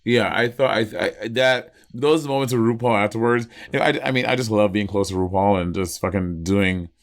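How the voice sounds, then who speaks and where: A man in his thirties with an American accent co-hosting a casual review chat, retelling moments in an animated way with acted-out quotes. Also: on the low side, brisk. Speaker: podcast co-host. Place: small indoor room at home.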